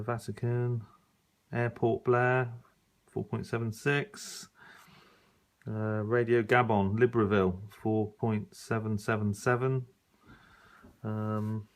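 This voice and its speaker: A man giving a casual, unscripted review as a hobbyist, hesitantly reading out listings and commenting as he goes. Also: mid-range voice. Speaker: radio hobbyist reviewing an old magazine. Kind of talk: casual unscripted review, leafing through pages.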